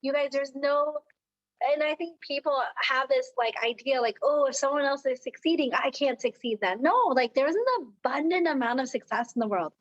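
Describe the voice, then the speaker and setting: High squeaky woman losing her voice, dull audio. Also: moderate pace. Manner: excited, peppy, cheerleading. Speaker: guest speaker on a team call. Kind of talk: informal motivational talk. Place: online team call.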